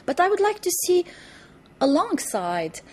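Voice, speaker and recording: A woman from Lebanon, answering interview questions thoughtfully, with many pauses, fillers and restarts, in a TV studio. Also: very high-pitched, big pitch swings. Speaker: interview guest, author.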